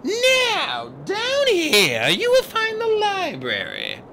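A cold, regal goddess of magic, as a man puts her on in an audiobook, speaking with slow, aloof authority. Her voice sits high and climbs and falls widely. It comes across bright and clear. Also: wildly swooping pitch, slight background noise, exaggerated voice. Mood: haughty. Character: haughty ancient goddess of magic, female.

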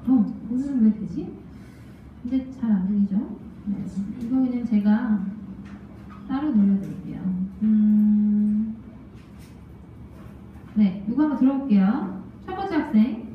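A woman in her thirties lecturing a class with a handheld microphone, lively and quizzing students, laughing often. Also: on the high side, a little slow, pauses often, faint music behind. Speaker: language test instructor. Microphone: handheld mic, far camera. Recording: echoey classroom from far off, dull.

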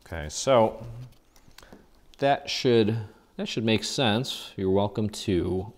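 A man hosting a casual step-by-step paper craft tutorial, chatty and relaxed, thinking aloud with fillers as he works. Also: lowish voice, slow pace.

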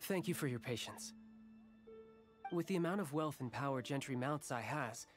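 A courteous male guild servant acted by a man in a game. He speaks earnestly and formally, deferential yet businesslike, with music clearly playing behind him. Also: mid-range voice, normal speed, close to an everyday voice. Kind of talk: video game character acting.

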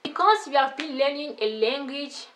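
A young woman talks straight to camera from a plain indoor wall, her English carrying a light French accent from years of French schooling. Her voice sits a little high and stays upbeat and friendly. She keeps calling her viewers "guys.". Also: animated, normal speed, fairly bright tone, a little hiss or hum. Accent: French-influenced English. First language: not English; schooled in French. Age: young adult. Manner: upbeat, smiling, often says "you know, guys". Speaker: YouTuber giving language tips. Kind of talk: casual talk to camera.

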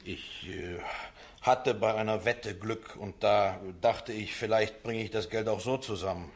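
Man playing hesitant, low-voiced evasive young defendant. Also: fairly even intonation, lightly acted. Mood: sheepish, defensive. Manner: hesitant, colloquial, halting. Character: evasive young male defendant, casual, down-on-his-luck nephew. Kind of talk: courtroom radio play acting.

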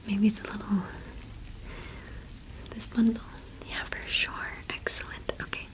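A young woman whispers her way through an ASMR roleplay as a shopkeeper. Her delivery is slow and gentle, with little rise or fall in pitch and frequent pauses. She speaks close to the microphone. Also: level tone, rough recording. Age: young adult. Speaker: ASMR performer playing a cottage witch shopkeeper.

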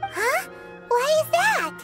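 Tiny fairy girl, extremely high childlike voice, perky, inquisitive, game dialogue, loud music. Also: sing-song, slow pace, exaggerated voice. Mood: curious. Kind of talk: English game voice acting.

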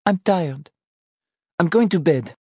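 An exhausted, accusatory mother in an audiobook, acted by a male narrator. The voice is pushed well up in pitch and swings widely as she scolds, with a dry sound. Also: expressive, slow pace, dark tone, husky, no room sound, heavily acted. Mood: weary, resentful. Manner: accusatory, exasperated. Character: exhausted, angry mother scolding her wayward teenage son. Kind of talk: audiobook character dialogue.

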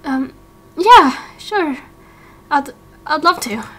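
A woman voicing an uneasy young man turned angel in a game roleplay, hesitant and halting. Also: high-pitched, lively intonation. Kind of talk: Minecraft roleplay voice acting.

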